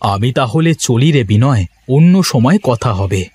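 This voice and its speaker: Guy doing a hearty village farmer in a cartoon, folksy, lower voice, lively swings. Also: moderate pace, husky. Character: older-brother village farmer, hearty and familiar. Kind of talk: moral-story cartoon dubbing.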